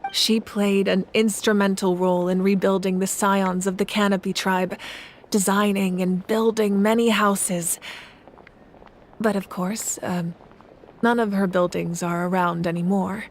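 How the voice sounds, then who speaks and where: A woman's voice: mid-range voice, little pitch movement, a little hiss or hum, lightly acted. Composed, resolute warrior queen, acted by a woman. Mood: solemn. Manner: measured, formal, solemn. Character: composed, resolute warrior goddess ruling a nation. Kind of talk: video game voice acting.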